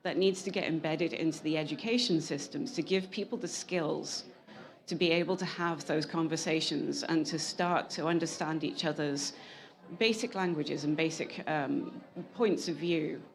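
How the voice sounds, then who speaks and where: A woman's voice: low-pitched, moderate pace. A woman speaking earnestly on a conference panel, often restarting her sentences. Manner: earnest, with frequent restarts and self-corrections. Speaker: panel speaker, climate scientist and engineer.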